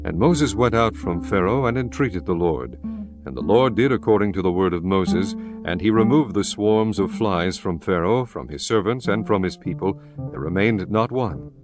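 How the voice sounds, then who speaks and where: A man narrating scripture in a solemn, measured, scripted style. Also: deep voice, lively intonation. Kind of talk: scripted dramatised Bible narration. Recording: music behind narration.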